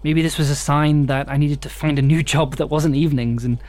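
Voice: mid-range voice, steady tone, brisk, darkish tone, lightly acted. A performer playing an anxious bar worker in an intimate audio drama monologue. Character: anxious young bar worker narrating sleepless nights. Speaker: writer and performer. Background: music.

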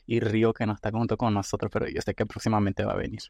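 A man's voice: fairly low pitch, level tone, fast, creaky, darkish tone, no echo, clean studio sound. A man co-hosting a casual podcast, talking in a playful, joking and theatrical way. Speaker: podcast co-host.

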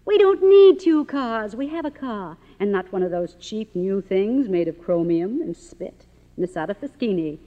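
An actress playing a vain, haughty, middle-aged silent-film star in a theatrical 1950s radio drama style. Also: female voice, medium pitch, very animated, unhurried. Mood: haughty, dismissive. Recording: muffled old radio recording, reverberant stage.

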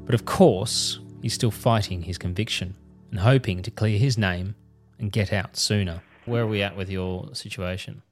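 A man narrating a documentary and putting questions to a guest, measured and scripted. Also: fairly low pitch. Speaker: documentary host and interviewer. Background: music.

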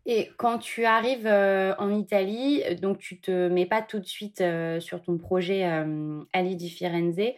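A woman hosting a podcast, asking a guest casual questions in a halting, filler-heavy way. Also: mid-range voice, steady tone. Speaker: podcast host.

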